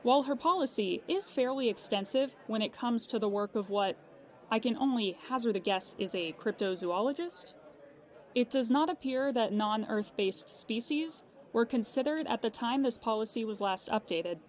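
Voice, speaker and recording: Woman doing a polite insurance agent lady in an audio drama, slightly high voice, very businesslike and by the book. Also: moderate pace, lightly acted. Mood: matter-of-fact. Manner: businesslike, precise, courteous. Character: unflappable, polite female insurance claims agent. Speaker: claims agent on a phone call.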